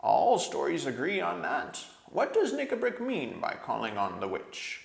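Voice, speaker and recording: A man's voice: a little high, wildly swooping pitch, measured pace, creaky, echoey room, voice pushed up, exaggerated voice. A man voicing a learned, cautious male doctor in a storybook, urgent and reasoning. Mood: urgent protest. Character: alarmed, learned male doctor urging restraint.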